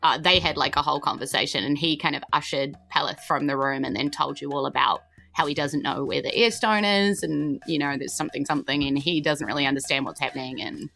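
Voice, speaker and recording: A woman's voice: low voice, fairly quick, creaky, few pauses, bright, forceful tone. A woman, chatting and narrating informally as the game master of a tabletop role-play session.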